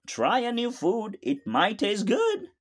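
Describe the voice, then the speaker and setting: A woman voicing a gentle, encouraging mother tiger in a storybook, coaxing and reassuring. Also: medium pitch, wildly swooping pitch, slow pace, pitched above the performer's own voice, exaggerated voice.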